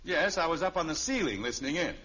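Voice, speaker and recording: An actor playing a stubborn, blustering American father in a 1950s radio sitcom, gruff and indignant. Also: fairly high pitch, lively intonation, quick pace, lightly acted. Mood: sly, probing. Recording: muffled, noisy old radio recording.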